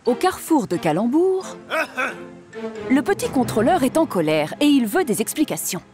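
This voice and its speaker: Lively woman narrating a cartoon. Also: sits high, moderate pace. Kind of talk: scripted storybook narration. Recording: studio dub over loud music.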